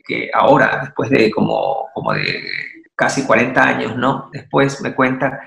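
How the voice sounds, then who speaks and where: A man's voice: mid-range voice, wildly swooping pitch, normal speed, creaky, a bit bright, echoey room. A man from Ecuador, an artist and university teacher, speaking as an interview guest in a thoughtful, hesitant way with many restarts. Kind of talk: interview guest, reflective storytelling.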